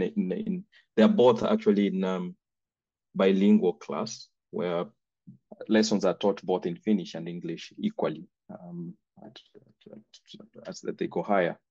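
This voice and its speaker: A man's voice: deep voice, creaky, warm tone, no echo. A man whose first language is Swahili, answering as a parent on an online panel, hesitant with many restarts. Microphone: video call. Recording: dull lo-fi call audio.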